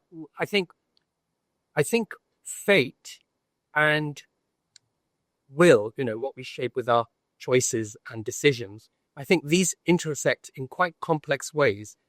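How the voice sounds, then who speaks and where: An older man with a British accent, making a reflective, measured argument as a panellist in a debate. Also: fairly high pitch, a bit bright, no room sound. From Britain.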